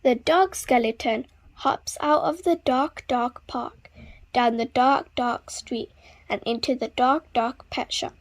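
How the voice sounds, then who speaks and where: A woman with a fairly high voice reads a picture book to young children. She takes her time, pacing each phrase slowly and leaning dramatically on repeated words. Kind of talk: expressive read-aloud storytelling for young children.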